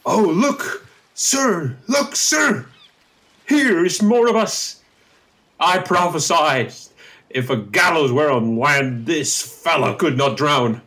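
Effusive old courtier, slow man acting. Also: on the high side, animated, pushed and bright, heavily acted. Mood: excited. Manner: fervent, declamatory verse delivery. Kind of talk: Shakespearean radio drama acting.

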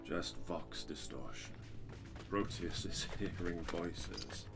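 Calm space marine, low voice, matter of fact, film music behind. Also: male voice, slow, vocal fry, full character voice. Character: calm, dismissive armoured male space marine officer. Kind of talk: animated sci-fi film acting.

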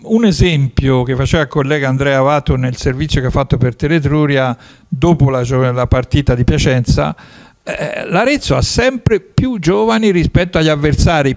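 A man in his fifties hosting a football talk show in a studio, talking with animated gestures into a handheld mic. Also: medium pitch, normal speed. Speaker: sports show host. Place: studio with dark curtain.

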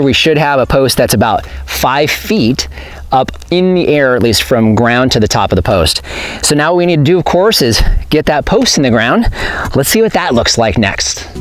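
A man's voice: fairly high pitch, no echo. Practical man explaining outdoors, dry sound. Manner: plain, practical, talks with his hands. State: a little out of breath. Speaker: homesteading vlogger. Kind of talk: casual how-to explanation. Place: outdoors on open desert farmland.

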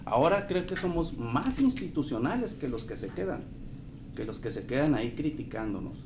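A middle-aged man speaks insistently, his pitch rising and falling in a lively way. He talks into a table mic in a small room, and clear background noise runs under his voice. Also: normal speed. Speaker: dissident party member at a press conference. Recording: noisy press conference recording.

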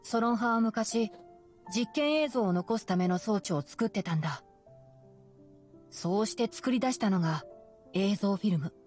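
A woman voicing a calm, knowledgeable young male scholar in an anime game, speaking in a composed, explanatory way. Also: medium pitch, moderate pace, long pauses, lightly acted. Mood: calm, explaining. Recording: game music under the voice. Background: game music.

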